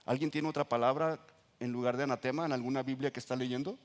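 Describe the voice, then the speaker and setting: A man with a Mexican accent, a pastor preaching earnestly to his congregation and reading scripture slowly with emphasis. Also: medium pitch, steady tone. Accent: Mexican Spanish. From Nayarit coast, Mexico. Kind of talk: sermon. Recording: reverberant church hall.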